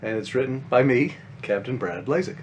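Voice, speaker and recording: Animated man reading a picture book. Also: mid-range voice, moderate pace, highs cut off, a little hiss or hum. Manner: explains the pictures simply, as if to children. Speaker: author reading his own picture book. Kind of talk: children's storytime reading with asides.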